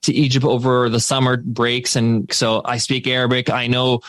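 An emphatic man in his thirties with a mid-pitched voice, speaking as a podcast guest. He talks energetically, peppering his points with "like" and "right?". The sound is dry and close. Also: flat delivery, moderate pace, a bit bright, no room sound. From United States. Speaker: podcast guest, former teacher turned entrepreneur. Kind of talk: casual interview talk.

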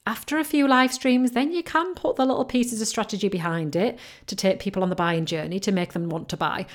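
A woman's voice: mid-range voice, brisk. Upbeat woman with a Yorkshire-Geordie accent. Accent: Northern English, between Yorkshire and Geordie. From North East England coast. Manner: upbeat, encouraging, repeats key phrases. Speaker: podcast host, business coach. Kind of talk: solo podcast advice.

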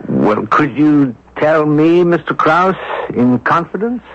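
An actor playing a helpful, persistent amateur detective who asks probing questions in a radio drama. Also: male voice, fairly high pitch, animated, takes it slowly, heavily acted. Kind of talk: 1970s radio drama acting. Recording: muffled old radio broadcast, faint noise.

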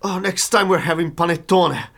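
A man's voice: fairly high pitch, normal speed, creaky, fairly bright tone. An actor playing a grumpy, food-loving Italian man with an Italian accent in an audio comedy drama. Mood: wistful.

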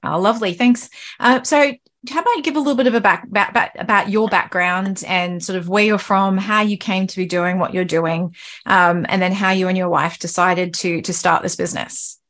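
A woman's voice: medium pitch, steady tone, moderate pace, fairly bright tone. A woman hosting a podcast interview, chatting casually and rambling through restarts and fillers. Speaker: podcast host.

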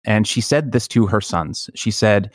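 A man with a fairly low voice talks as a podcast host in a dry, close recording. He is earnest and reflective, telling personal stories with halting pauses. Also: animated, dry recording. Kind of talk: personal storytelling in conversation.

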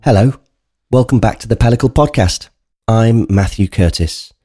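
A man's voice: on the low side, normal speed, warm tone, slightly rough, faint music behind. A man with a cold, sounding bunged up, chatting earnestly and directly to listeners as a podcast host. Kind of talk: casual spoken podcast introduction.

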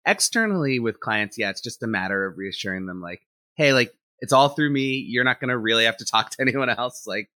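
A man, an animator and director, talking in a relaxed interview and thinking out loud with lots of "like" fillers. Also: mid-range voice, brisk, no room sound, clean studio sound. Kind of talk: casual interview conversation.

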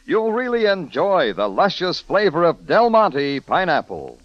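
A man's voice: fairly high pitch, huge pitch swings, a little slow, very noisy. A man working as a 1940s-style radio announcer, reading ads and credits with polished, emphatic salesmanship. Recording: muffled old radio broadcast, music behind. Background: music.

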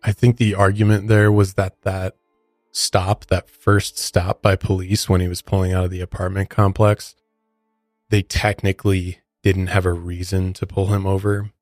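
Deep-voiced American man co-hosting a podcast. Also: level tone, normal speed, no echo, clean studio sound, faint music behind. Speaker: podcast co-host. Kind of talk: casual true crime discussion.

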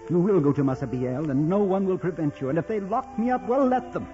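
A man's voice: high voice, animated, fast, mellow. A humble peasant father, anguished and protective, in a vintage radio drama. He speaks heartfelt and pleading over music on a muffled broadcast. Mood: tender, defiant. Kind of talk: 1940s-50s radio drama acting.

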